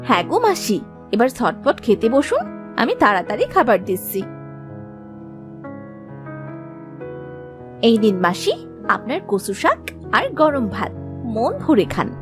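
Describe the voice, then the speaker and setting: A caring young village wife, with a fairly high and very animated voice. She sounds respectful and attentive, a cartoon fairy-tale figure over faint background music. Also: normal speed. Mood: busy, welcoming.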